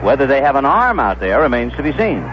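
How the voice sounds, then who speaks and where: An American man calling baseball play-by-play on the radio, conversational and descriptive, filling in stories between pitches. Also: very animated, fast talker. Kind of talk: play-by-play commentary. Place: ballpark broadcast booth. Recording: dull, noisy vintage 1970s radio broadcast.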